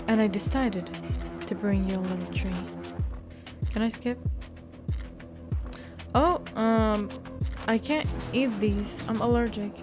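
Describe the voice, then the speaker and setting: A woman's voice: medium pitch, slow pace, pauses often, over music. A woman reading a visual novel aloud as a game streamer, stumbling over words and breaking off into blunt, playful commentary. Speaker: game streamer doing a walkthrough.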